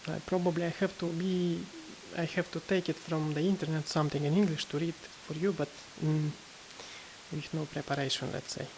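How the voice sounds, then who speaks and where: A woman testing microphones in a room, talking casually and hesitantly with many fillers, and reading haltingly from a book. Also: low voice, level tone, a little mellow, slight background noise, poor audio. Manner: reads aloud haltingly from a book. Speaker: gear reviewer testing microphones. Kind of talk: casual mic test vlog. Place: indoor room. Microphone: various test microphones, condenser among them.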